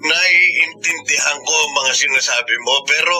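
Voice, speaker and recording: A man's voice: a little high, normal speed, sharp tone, slight background noise, rough recording. An actor playing a firm, reasoning middle-aged father in a Tagalog radio drama, earnest and insistent, with a Filipino accent. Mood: earnest pleading.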